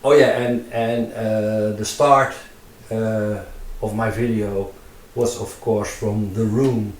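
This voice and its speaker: A slow-speaking man with a Dutch accent, his voice fairly low and set back from the mic in a roomy space. He thinks aloud as he works, with lots of fillers and restarts. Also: fairly even intonation, recorded from a distance. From Netherlands. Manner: halting, many fillers and restarts, thinks aloud while working. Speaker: VR artist. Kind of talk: casual talk while drawing in VR.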